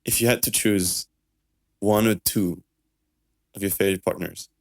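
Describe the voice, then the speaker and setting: A man's voice: deep voice, fairly even intonation, normal speed, gravelly, no echo. A man hosting a podcast interview in a friendly, chatty way. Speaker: podcast host. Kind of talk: casual interview.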